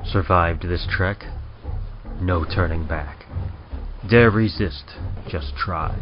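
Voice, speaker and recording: An actor playing a menacing ghost train conductor, reciting horror verse in a portentous, theatrical manner. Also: male voice, sits low, slow. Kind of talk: dramatic horror poetry recitation. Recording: muffled audio under music.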